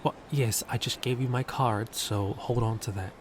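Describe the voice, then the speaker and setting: A man's voice: mid-range voice, steady tone, moderate pace, a little hiss or hum, close to an everyday voice. A man playing a confident, self-centered handyman in an audio roleplay, teasing and cocky. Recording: music bed underneath.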